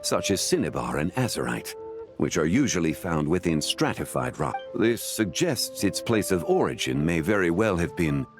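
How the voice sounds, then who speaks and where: A man voicing a dignified, learned antiquities connoisseur in an animated game, formal and composed. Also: low voice, over music. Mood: learned, courteous. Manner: formal, measured, erudite.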